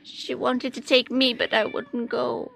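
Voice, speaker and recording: A woman's voice: fairly high pitch, little pitch movement, vocal fry, a bit bright, slight background noise. An actress playing a fretful, stubborn old woman who repeats herself hungrily in a radio drama. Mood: weary, despairing. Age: older. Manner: repetitive, fretful, slow. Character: hungry, stubborn old blinded woman, guarding her tins.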